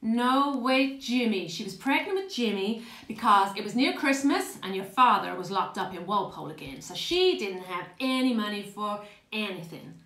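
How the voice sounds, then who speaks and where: A woman's voice: medium pitch, animated, moderate pace, few pauses, a bit bright. A woman playing a blunt, chatty older working-class woman reminiscing fondly in a dramatic monologue. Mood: amused storytelling. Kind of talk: dramatic stage monologue, conversational storytelling. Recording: roomy, echoing space.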